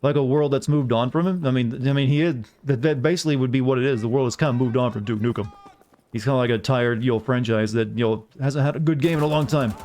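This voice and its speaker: A man's voice: mid-range voice, fast talker, a little mellow. A man from the southern United States with an American accent, giving casual, rambling live gameplay commentary with jokey, self-deprecating asides to viewers. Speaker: game streamer.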